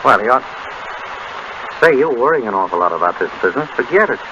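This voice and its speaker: Noisy old radio recording, nonchalant acted husband detective. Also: male voice, mid-range voice, sing-song, fast, lo-fi sound, lightly acted. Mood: casual, dismissive. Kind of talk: 1930s radio drama acting.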